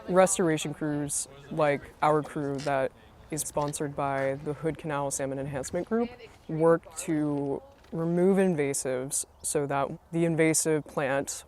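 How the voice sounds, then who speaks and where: A woman in her twenties from North Carolina, a crew's media officer, explaining clearly in documentary narration. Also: sits low, darkish tone. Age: 20s. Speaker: media officer, crew assistant supervisor.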